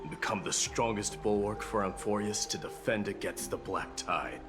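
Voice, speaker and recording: An actor playing a stoic, proud warrior demigod in a video game, speaking formally and resolutely over music. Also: male voice, lowish voice, normal speed, close to an everyday voice. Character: stoic, proud warrior prince demigod, devoted to protecting others. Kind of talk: dramatic video game voice acting.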